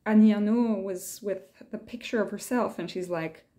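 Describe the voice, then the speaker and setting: A woman's voice: medium pitch, normal speed, warm tone. Hesitant, musing woman vlogger. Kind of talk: casual reflective monologue, reading quotes aloud.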